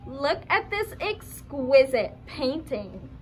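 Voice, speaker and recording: Young woman playing gushing puppet, high, bright, animated, faint background noise. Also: extremely slow, full character voice. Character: gushing, eager female puppet. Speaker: student puppeteer. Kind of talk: scripted puppet show acting.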